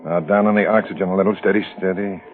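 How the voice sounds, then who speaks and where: A man playing a steady, authoritative doctor with clipped commands in a 1940s radio drama. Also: low-pitched, quick pace, faint music behind, close to an everyday voice. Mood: steady, relieved. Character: steady, authoritative underworld male doctor at work. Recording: muffled vintage 1940s radio recording.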